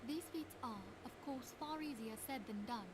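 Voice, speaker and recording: An actress playing an earnest, dignified female leader in a fantasy video game, speaking in a measured, formal way. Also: sits high, natural voice. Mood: earnest, resolute. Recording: clear hiss and noise behind dialogue.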